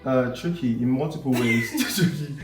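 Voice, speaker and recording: A man's voice: mid-range voice, normal speed. Young man, from Cameroon, casual vlog host, playful, echoey room, faint music. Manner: joking, playful. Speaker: channel host.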